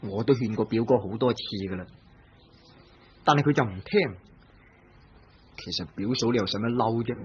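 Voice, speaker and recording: A man's voice: mid-range voice, normal speed, long pauses, darkish tone, a little hiss or hum, natural voice. An actor playing a young man confessing to murdering his cousin's wife, speaking Cantonese-accented Chinese in a vintage radio play. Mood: scheming. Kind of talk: vintage radio drama acting. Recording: dull lo-fi old radio recording.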